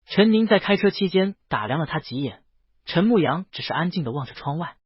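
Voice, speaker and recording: A man's voice: fairly high pitch, lively intonation, fairly quick, slightly rough, no room sound, highs cut off. A man reads a scripted story as an audiobook narrator, voicing the dialogue himself.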